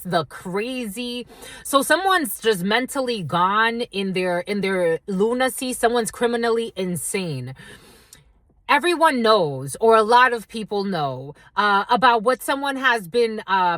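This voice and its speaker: A woman's voice: a little high, fairly bright tone, no echo. A woman doing a channeled tarot reading, rambling from one intuitive impression to the next and speaking straight to her viewers. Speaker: tarot reader.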